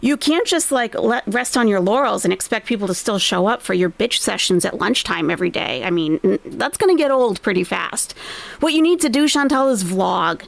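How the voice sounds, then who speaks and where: A woman's voice: medium pitch, animated, a little fast, gravelly. An American woman giving blunt, sarcastic commentary on a live stream, scolding the streamer. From United States.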